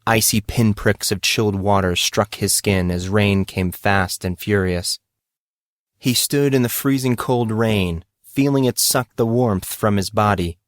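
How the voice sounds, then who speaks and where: A man with a low voice narrates scripted drama at a very slow pace. His delivery is measured and dramatic, and the recording is close and dry. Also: no echo.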